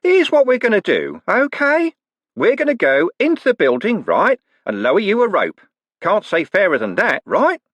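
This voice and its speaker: A loud, falsely cheerful thug, put on by a male narrator, pitched high above the reader's own voice and swinging wildly up and down as he bellows. The friendliness is a threat. The recording is dry and close. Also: huge pitch swings, normal speed, no echo, exaggerated voice. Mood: mock cheerful. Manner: loud mock-friendly bullying.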